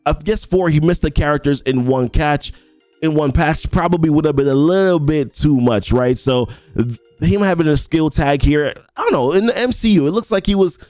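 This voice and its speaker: A man's voice: on the high side, moderate pace, darkish tone, no echo, soft background music. A young adult man giving casual commentary on a mobile game update. He reads ability text aloud and rambles with frequent restarts. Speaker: mobile game content creator. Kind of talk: casual game commentary reading patch notes.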